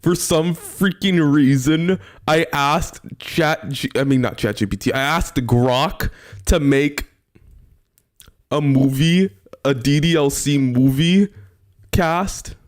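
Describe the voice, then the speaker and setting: Casual man reacting out loud. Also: a little high, slow pace. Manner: informal, amused, thinking aloud. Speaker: reactor, streamer. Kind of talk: casual live reaction commentary.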